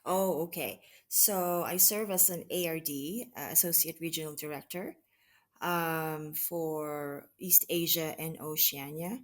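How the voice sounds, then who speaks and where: A woman from the Philippines answering interview questions in an unhurried way, pausing often with fillers and restarts. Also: deep voice, steady tone. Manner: hesitant, many restarts and fillers. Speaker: guest, mission associate regional director. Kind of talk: conversational interview.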